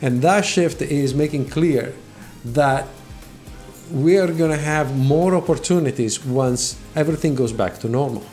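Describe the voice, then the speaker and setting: A man's voice: on the high side, measured pace, darkish tone. A middle-aged man from Italy with an Italian accent, hosting his own tech channel and speaking earnestly to viewers, repeating words as he goes. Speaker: channel host. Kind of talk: talking to camera, motivational explainer. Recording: faint music behind voice.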